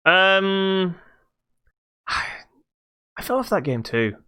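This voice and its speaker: A man chatting casually about video games as a podcast co-host, halting and repeating words as he thinks aloud. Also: high voice, animated, pushed and bright, smooth.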